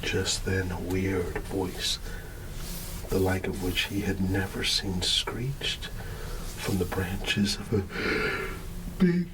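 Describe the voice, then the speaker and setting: A man reading a bedtime story in ASMR style, hushed and drawn out, sometimes dropping into a whisper. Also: low-pitched. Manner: hushed, unhurried, drawn-out words with playful asides. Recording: steady background ambience noise. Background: ambient background sound.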